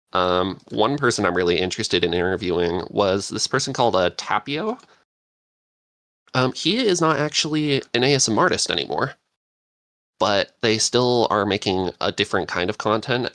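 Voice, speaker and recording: Very low-voiced man chatting casually, close and dry. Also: fairly bright tone, dry recording. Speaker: podcast host and interviewer. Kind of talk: casual unscripted podcast chat.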